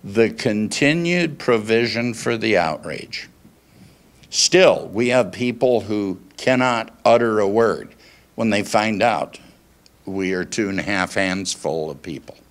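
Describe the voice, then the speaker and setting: A man in his sixties preaching conversationally, in deliberate phrases, putting questions to his congregation into a handheld microphone. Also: on the low side, lively intonation. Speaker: pastor. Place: small church meeting room, indoors. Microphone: handheld microphone held at chest.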